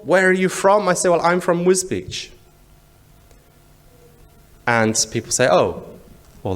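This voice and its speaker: Animated Zimbabwean male preacher. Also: mid-range voice. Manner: conversational and explanatory, with rhetorical questions and repetition. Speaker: church preacher. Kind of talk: sermon.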